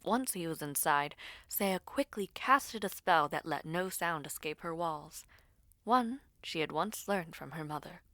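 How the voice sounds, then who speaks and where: A woman narrates dramatic fiction in a low, unhurried voice. Her delivery is measured and hushed, captured very dry and close. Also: lively intonation, dry recording. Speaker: storyteller. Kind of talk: scripted dramatic audio story narration.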